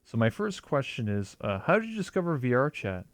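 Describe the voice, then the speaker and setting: A laid-back man hosting a casual online interview, speaking in a middle pitch. He talks in a halting, easygoing way full of ums and you knows, and his voice sounds close and dry. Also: very animated, no echo. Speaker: host.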